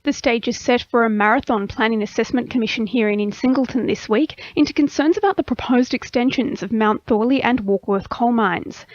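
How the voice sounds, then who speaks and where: A woman's voice: fairly high pitch, no echo, muffled recording. An Australian woman reading a scripted radio news bulletin as the station's news reader. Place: dry radio studio.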